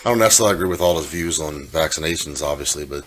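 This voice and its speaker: A man co-hosting a casual podcast chat, with a soothing voice and a halting way of talking that repeats words. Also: a bass voice, fairly bright tone. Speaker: podcast co-host.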